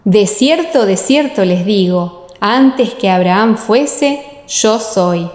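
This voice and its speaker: A solemn male teacher of scripture, voiced by a woman, speaks with assurance in a mid-pitched voice. Phrases are measured and emphatic, as in a radio-theatre audiobook. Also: animated, a little mellow, echoey room, big-room sound. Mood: declaring.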